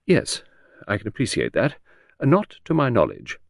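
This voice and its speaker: A man's voice: medium pitch, wildly swooping pitch, normal speed, no room sound, studio quality, exaggerated voice. A man voicing a cool, brusque young woman secretary in an audiobook, with precise, faintly scornful speech. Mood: scornful, guarded. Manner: crisp, precise, prompt, scornful. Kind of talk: audiobook character reading.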